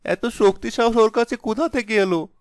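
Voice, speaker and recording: A man voicing a young village husband, blustering but easily cowed, with an exclaiming, melodramatic delivery in an animated folk tale. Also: high-pitched, moderate pace, dry recording, studio quality. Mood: panicked, pleading. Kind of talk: animated fairy-tale voice acting.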